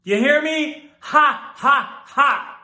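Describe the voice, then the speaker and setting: A man playing a brash, hot-tempered working-class man in a stage drama, loud and taunting. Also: medium pitch, moderate pace, close to an everyday voice. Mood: mocking, aggressive. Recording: echoey room.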